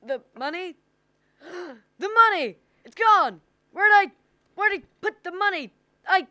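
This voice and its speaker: A woman's voice: extremely high pitch, animated, unhurried, bright, forceful tone, dry recording, studio quality, exaggerated voice. A young woman acting a forgetful, flustered old uncle in a radio drama, stammering and exclaiming. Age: young adult. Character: forgetful, flustered old Uncle Billy, male bank partner.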